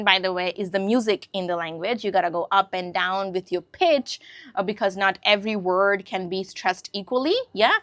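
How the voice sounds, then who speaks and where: A woman's voice: mid-range voice, a bit bright, no echo. Hindi-speaking woman teaching english, chatty, keeps asking if you follow, dry close sound. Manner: conversational, checks in with listeners often. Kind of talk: casual spoken lesson to camera.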